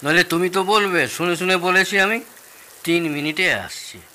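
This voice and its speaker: A man's voice: high-pitched, moderate pace, sharp tone. A man acting a boastful, food-loving middle-aged father-in-law with an East Bengali accent in an audio drama, theatrical and savouring. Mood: boastful, teasing.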